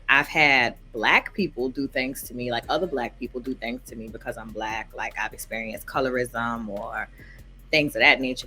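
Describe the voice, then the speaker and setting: Bright, casual woman in her thirties. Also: very deep voice. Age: 30s. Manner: casual, hedging with "like". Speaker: interviewee. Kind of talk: casual interview.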